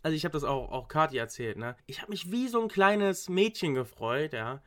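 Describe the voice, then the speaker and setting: A man's voice: fairly high pitch, sing-song, normal speed, fairly bright tone. Young male student, loose rambling talker, everyday conversational register. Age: young adult. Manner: rambling, frequent restarts and English slang. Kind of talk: casual chat.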